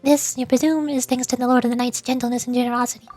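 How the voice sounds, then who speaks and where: High-voiced woman streaming a game. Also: fairly even intonation, quick pace, darkish tone. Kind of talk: game livestream, reading dialogue aloud. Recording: music behind the voice. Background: game music.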